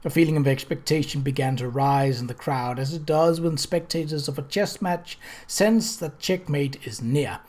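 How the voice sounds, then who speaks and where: A narrator with a mid-pitched voice reads literary fiction aloud. The delivery is scripted, measured storytelling suited to an audiobook. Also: a little mellow. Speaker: audiobook reader.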